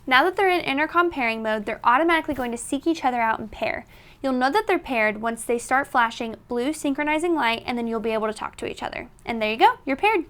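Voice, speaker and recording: A woman's voice: high-pitched, animated, quick pace, fairly bright tone, slight background noise. A woman presenting a product tutorial in an instructional, step-by-step manner. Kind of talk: tutorial narration.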